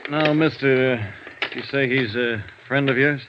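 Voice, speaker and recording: An actor playing a world-weary, matter-of-fact emergency doctor in an old-time radio drama, with clipped, businesslike delivery. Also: male voice, mid-range voice, measured pace, dull audio, close to an everyday voice. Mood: brisk. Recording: vintage radio recording, band-limited, faint hiss.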